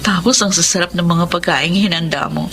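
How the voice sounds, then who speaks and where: An actress playing a sweet, heartbroken office worker in a Filipino melodrama, expressive, with a Filipino accent. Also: female voice, lowish voice, brisk, creaky, over music. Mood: pleased, sweet. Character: heartbroken, sweet office woman trying to move on. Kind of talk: Filipino radio-style melodrama acting.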